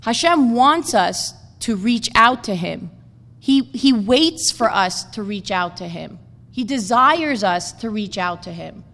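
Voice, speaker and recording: A woman's voice: mid-range voice, expressive, takes it slowly, a bit bright. A woman delivers an inspirational talk with earnest, repetitive emphasis. Her voice carries a long reverb from the hall around her. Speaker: speaker giving a blessing.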